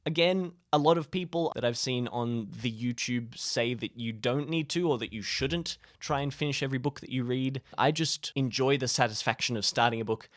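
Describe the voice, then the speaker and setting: A man's voice: mid-range voice, moderate pace, hardly any pauses, a bit bright, no echo, studio quality. A man talking casually to camera like a vlogger giving friendly tips, informal and jokey with a self-deprecating streak. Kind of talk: casual, chatty advice to camera.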